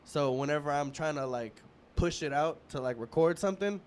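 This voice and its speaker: A man in his thirties hosting a podcast, chatting in a relaxed, rambling way in a casual interview. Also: a little high, a little fast, clean tone. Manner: relaxed, rambling, many restarts and fillers. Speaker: podcast host.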